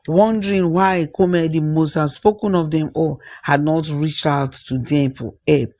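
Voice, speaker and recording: Folktale narrator, expressive delivery with interjections, gravelly edge, close dry sound. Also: mid-range voice, no room sound. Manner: expressive, with interjections and repeated phrases. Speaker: storyteller. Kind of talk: spoken folktale narration with acted dialogue.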